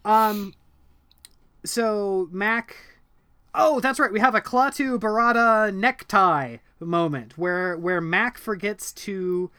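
A man with a bright, forceful, slightly high voice, recorded close and dry. He chats casually about a book, animated and full of ums and restarts. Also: takes it slowly, clear, resonant tone, dry recording. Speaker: podcast co-host discussing a book. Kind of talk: casual conversational chat.

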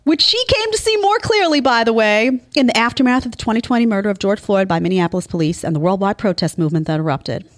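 A woman's voice: mid-range voice, expressive, fast talker, hardly any pauses. A woman hosting an opinion show, delivering a sarcastic, incredulous, emphatic monologue. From Italian family background. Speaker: show host.

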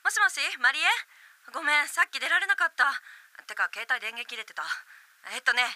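A woman's voice: sits high, animated, a little fast, pushed and bright, slight background noise, full character voice. A woman playing a bossy, exasperated young friend in a comedic radio drama, blunt and slangy. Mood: nagging, exasperated. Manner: blunt, emphatic, slangy scolding.

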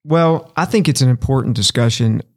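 A man's voice: medium pitch, brisk. Earnest man in casual podcast talk. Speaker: podcast co-host. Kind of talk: casual discussion.

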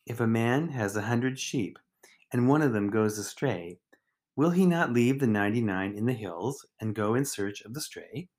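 A man reading a scripted daily devotional as a deacon and host, phrasing it deliberately in short, measured units. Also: medium pitch, darkish tone. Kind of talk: scripted devotional reflection, read aloud.

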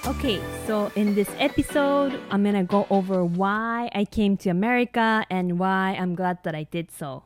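A woman's voice: mid-range voice, moderate pace, few pauses, soft background music. A woman in her thirties from Japan with a Japanese accent, a nurse practitioner hosting a podcast and talking earnestly with many ums and you-knows. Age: 30s. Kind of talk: solo podcast monologue.

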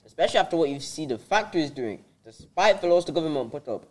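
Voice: medium pitch, lively intonation, fast talker, slight background noise, natural voice. A young adult playing a probing interviewer in an amateur radio drama. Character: probing interviewer hosting a climate debate.

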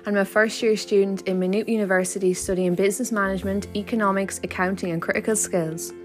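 A nineteen-year-old woman student introducing herself on a podcast in a friendly, measured way. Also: medium pitch, fairly even intonation, over music. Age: young adult.

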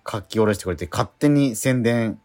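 A man hosting a radio show, chatting in a relaxed way with lots of quick backchannels. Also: on the low side. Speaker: radio host. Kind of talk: casual chat.

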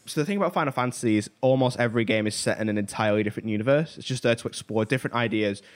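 A man with an average-pitched voice talks casually as a podcast co-host. His explanations ramble and halt, drifting into side points as he works through them. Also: very fast, clear, resonant tone. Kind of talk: casual chat about films.

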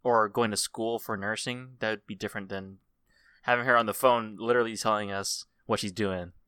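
Crisp, bright-voiced man in casual film chat. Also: on the low side, steady tone, a little fast, no echo, clean studio sound. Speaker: film podcast co-host.

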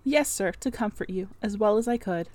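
A woman's voice: mid-range voice, normal speed, darkish tone, no echo, lightly acted. Storybook governess, young woman, acted by a female reader: earnest, devoted, politely formal, mid-range voice. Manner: polite, formal old-fashioned phrasing.

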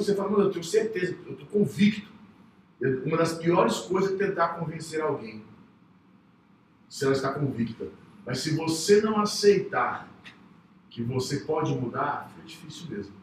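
A man, a psychologist guest, telling his own story in an earnest, halting way with many restarts. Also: fairly high pitch, slight background noise. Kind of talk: personal testimony in casual talk. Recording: echoey room, voice from far off.

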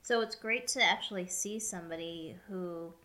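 Bright-voiced woman in casual chat. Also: fairly low pitch, normal speed. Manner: chatty, laughs easily, hedges with fillers. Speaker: co-host.

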